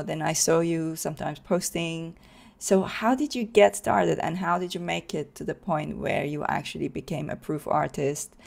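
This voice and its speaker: A woman's voice: on the low side, creaky, a little mellow. A woman hosting a podcast, talking conversationally as she interviews a guest.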